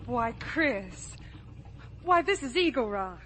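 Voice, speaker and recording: An actress playing an earnest, wounded young woman with quiet firmness in a 1950s radio drama. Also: fairly high pitch, very animated, takes it slowly, full character voice. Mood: fond. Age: young adult. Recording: old radio broadcast, faint noise.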